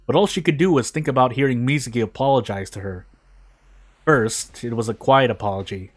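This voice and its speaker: A man's voice: medium pitch, fairly quick. A man informally reading a story aloud, now and then stumbling and rereading phrases. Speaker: fan fiction reader on a gaming video.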